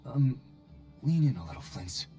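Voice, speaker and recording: An actor voicing an earnest, fretful colleague in a video game, speaking politely but emphatically. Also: male voice, mid-range voice, big pitch swings, moderate pace, dark tone, soft background music, lightly acted. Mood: probing, worried. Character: earnest, fretful male colleague, concerned and exasperated.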